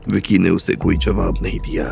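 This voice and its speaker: A man narrating a romantic crime audio drama in a measured storytelling manner. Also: sits low, level tone, vocal fry, a little mellow, slight background noise.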